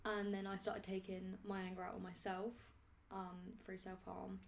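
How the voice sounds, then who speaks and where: A woman in her late teens speaks thoughtfully in a group chat, often chiming in and trailing off with fillers. Her delivery stays quite flat in pitch, at a middling level. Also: normal speed, creaky, slight background noise. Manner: thoughtful, chimes in, trails off with likes. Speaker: group member, guest. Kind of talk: casual group discussion. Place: meeting room.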